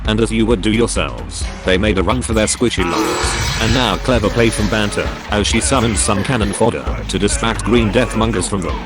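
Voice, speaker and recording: A man calls a game battle as its commentator. His voice is fairly low, with clear music running behind it. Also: normal speed. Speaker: match commentator.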